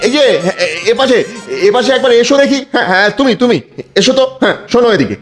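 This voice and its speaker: A very high-voiced, bewildered cartoon king, acted by a man, with music playing clearly behind him. He is anxious and flustered, and keeps doubling up his words as he tries to make sense of his new station. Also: expressive, fast talker. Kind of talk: cartoon voice acting.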